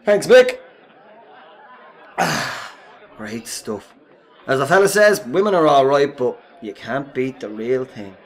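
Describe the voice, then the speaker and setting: An actor with a Dublin accent playing a jovial, easygoing pub drinker in a radio play, chatty and matey. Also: male voice, mid-range voice, expressive, takes it slowly, pauses often. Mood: matey banter. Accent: Irish, Dublin. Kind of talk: radio play acting in colloquial dialogue. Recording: pub crowd chatter behind.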